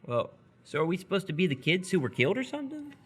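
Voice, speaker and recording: A man's voice: high voice, big pitch swings, fast, husky, heavily acted. An actor in live radio theatre playing an awkward, earnest seventeen-year-old boy, hesitant and curious. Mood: curious, uneasy.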